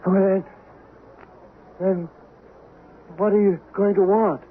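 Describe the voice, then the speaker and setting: A terrified, haunted man, high-voiced and panicked, pleading in slow, halting bursts. It sounds like vintage radio drama, narrow and thin. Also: little pitch movement, a little hiss or hum. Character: terrified wealthy man facing a ghost from his past. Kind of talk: 1970s radio drama acting.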